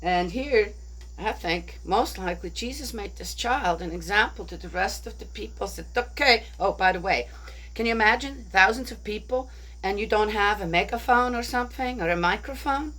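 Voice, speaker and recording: A woman's voice: mid-range voice, lively intonation, normal speed, fairly bright tone, rough recording. Chatty woman musing over a bible story. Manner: chatty, rhetorical, keeps asking "right?". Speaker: bible study speaker. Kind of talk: casual spoken reflection on a bible story.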